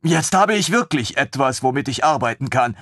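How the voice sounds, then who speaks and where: A man voicing an eager, excitable male lieutenant in an audiobook, talking in breathless, gushing questions. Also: mid-range voice, normal speed, dry recording, clean studio sound, voice pushed up. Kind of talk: audiobook reading.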